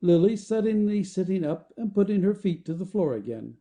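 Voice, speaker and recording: A man's voice: high-pitched, sing-song, a little fast, mellow. A man reading stage directions as the narrator of a scripted play.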